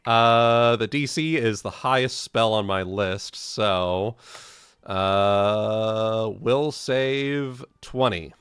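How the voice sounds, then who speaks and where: A man's voice: on the low side, very slow, fairly bright tone, smooth. A man chatting casually as a player in a tabletop fantasy game, explaining his moves and rules.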